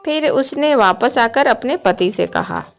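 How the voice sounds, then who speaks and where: A woman's voice: fairly high pitch, lively intonation, measured pace, vocal fry. A woman reading scripted storybook narration for a cartoon moral tale. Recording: music behind the voice. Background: background music.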